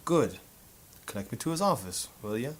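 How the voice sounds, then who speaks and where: A man's voice: mid-range voice, sing-song, moderate pace. A man playing a hard-boiled, sardonic photographer turned sleuth in a 1950s noir radio drama, with wry, tough-talking delivery. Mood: pressing.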